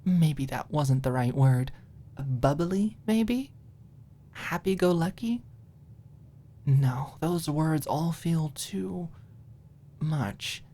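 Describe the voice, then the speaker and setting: A woman narrating a story as a scripted audiobook, in a soft, expressive storytelling manner. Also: deep voice, extremely slow, long pauses.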